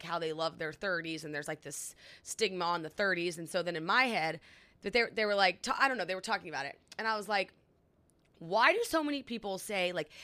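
Woman, 30s, podcast co-host, bright voice, chatty storyteller. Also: mid-range voice. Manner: chatty storyteller, lots of fillers. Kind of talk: casual chat.

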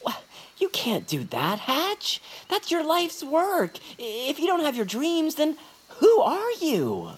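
A woman voicing an eager, loyal young boy who stammers with excitement, in an audio drama. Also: high voice, slow pace, full character voice. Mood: earnest.

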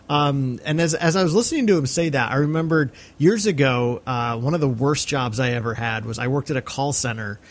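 An American man co-hosting a podcast, talking casually and reflectively about film, effusive and often halting to repeat phrases. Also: medium pitch. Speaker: podcast co-host.

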